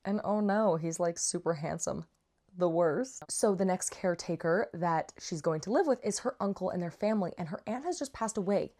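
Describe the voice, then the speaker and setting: A woman's voice: on the low side, moderate pace, dark tone. A woman giving a casual, chatty book review with slangy asides and playful, dramatic emphasis. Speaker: book reviewer, vlog host.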